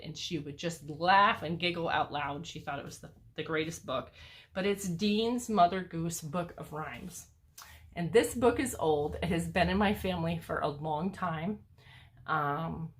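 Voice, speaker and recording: An older woman, a homeschooling grandmother, chatting enthusiastically about books in a casual way with lots of fillers. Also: on the low side. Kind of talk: casual book review chat.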